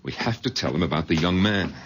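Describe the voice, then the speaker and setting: An actor playing a reassuring, dismissive middle-aged son-in-law in a 1970s radio drama. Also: male voice, sits low, animated, fast, heavy background noise. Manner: reassuring, smoothing things over.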